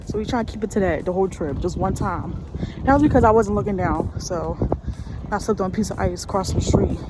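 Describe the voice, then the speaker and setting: A woman's voice: medium pitch, brisk, very noisy. A young woman talking casually to her phone camera outdoors, slightly tipsy, loose and swearing freely. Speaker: vlogger. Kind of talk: casual on-location vlog talk. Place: outdoors in a snowy city.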